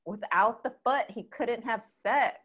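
A woman's voice: fairly high pitch, measured pace, a bit bright, slightly rough. A woman in her thirties hosting a podcast, chatting and asking questions in an informal, playful interview style. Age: 30s. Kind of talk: casual chat interview.

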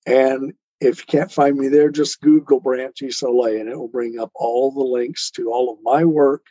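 A mellow, husky-voiced man answers as a guest in a conversational interview. The sound is dry and a little muffled and lo-fi. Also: mid-range voice, fairly even intonation, normal speed, no room sound. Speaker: podcast guest, author and poet.

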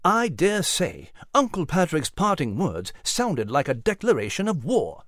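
A woman voicing a proper, bookish little gentleman animal in a children's story. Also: sits low, sing-song, measured pace, no echo, studio quality, heavily acted. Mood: alarmed. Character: Nigel, erudite bespectacled gentleman mouse, excitable and proper.

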